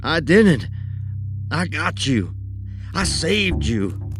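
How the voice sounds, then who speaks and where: Horror story victim: man performing a guilt-stricken man, slow strained pleas, gravelly edge, very animated. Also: on the high side, soft background music, pitched above the performer's own voice, exaggerated voice. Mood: desperate pleading. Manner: pleading, strained, drawn-out cries. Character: frightened, guilt-ridden man pleading with his dead dog.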